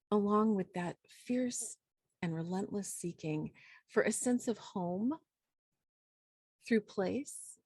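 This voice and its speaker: Unhurried woman hosting, close dry sound. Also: medium pitch, darkish tone, no room sound. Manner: effusive, reflective, halting with pauses. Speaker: host and poet. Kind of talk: warm, informal event introduction.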